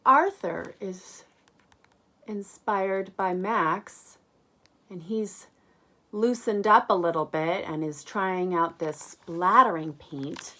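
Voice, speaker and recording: A woman's voice: fairly low pitch, slow, bright, forceful tone. A woman reading a picture book aloud to young listeners, adding chatty asides, questions and exclamations. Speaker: read-aloud storyteller.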